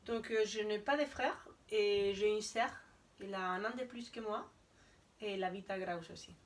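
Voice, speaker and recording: A woman's voice: mid-range voice, normal speed, recorded from a distance. A woman in her thirties from Spain, a guest in an interview, chatting casually with frequent hesitation fillers. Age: 30s. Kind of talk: casual conversation.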